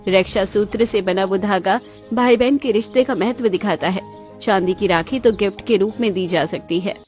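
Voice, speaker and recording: A woman voicing a gentle, loyal young sister from a poor family in a cartoon, speaking earnestly and plainly. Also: medium pitch, normal speed, faint music behind, close to an everyday voice. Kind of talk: cartoon moral-story dialogue in Hindi.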